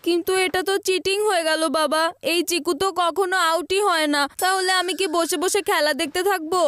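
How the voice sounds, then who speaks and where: A woman's voice: very high voice, steady tone, brisk, fairly bright tone, no echo, studio quality. A woman voicing a cheeky, scheming young boy in a cartoon. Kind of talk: cartoon voice acting.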